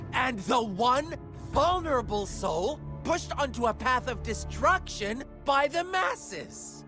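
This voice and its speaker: A man playing a sly, eloquent storyteller in a video game, theatrical and condescendingly playful, savoring his pauses over background music. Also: high-pitched, heavily acted. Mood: smug, dramatic. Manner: theatrical, savoring pauses, teasing rhetorical questions. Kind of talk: dramatic video game dialogue and fable narration.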